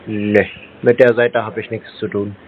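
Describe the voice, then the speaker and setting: A man's voice: on the low side, little pitch movement, normal speed. A man talking on a phone call in a dry, sarcastic, needling manner. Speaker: scam baiter taking scam calls. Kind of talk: phone conversation, teasing and sceptical. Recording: thin, phone-like telephone line.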